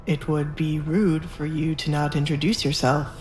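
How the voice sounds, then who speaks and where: A man's voice: fairly high pitch, little pitch movement, normal speed, close to an everyday voice. Man acting imperious duke, echoey and menacing. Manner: commanding, mocking, formal. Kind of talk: audio drama acting. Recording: echoey voice over background music.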